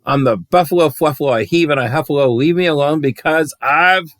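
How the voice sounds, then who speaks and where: A man's voice: a little high, a little fast, a bit bright, dry recording, clean studio sound, pitched above the performer's own voice, lightly acted. A man doing a huffy, grumpy storybook buffalo. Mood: blustering. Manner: blustery, defiant storybook reading. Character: grumpy huffy male buffalo, proud and puffed up.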